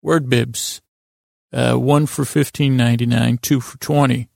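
A man playing a bumbling, earnest talk-show guest who makes a flustered, insistent sales pitch in a gravelly voice. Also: steady tone, measured pace, a little mellow, dry recording, studio quality.

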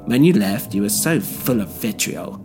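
A man voicing a smug middle-aged male villain who talks in a mocking, gloating, drawn-out way. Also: fairly low pitch, expressive, over music. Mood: coaxing.

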